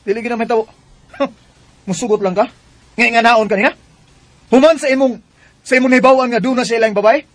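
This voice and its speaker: An actor playing a defiant, hot-headed young son in a Cebuano radio drama. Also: male voice, very high voice, brisk, pauses often. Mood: defiant, indignant. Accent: Cebuano Bisaya. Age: young adult. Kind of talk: Bisaya radio drama acting.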